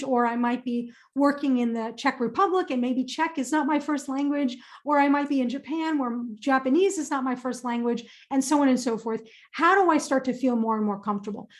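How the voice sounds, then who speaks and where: Woman, high voice, upbeat, emphatic, webinar presenter, career advice Q&A. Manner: upbeat, emphatic, repeats phrases for stress.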